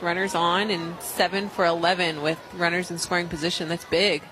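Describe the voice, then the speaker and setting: Woman softball analyst over noisy crowd. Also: on the low side, level tone, fairly quick. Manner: informal, conversational. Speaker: color commentator. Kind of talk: live sports analysis and booth chat. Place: outdoor softball stadium broadcast booth. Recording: loud crowd and outdoor stadium noise. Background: crowd noise.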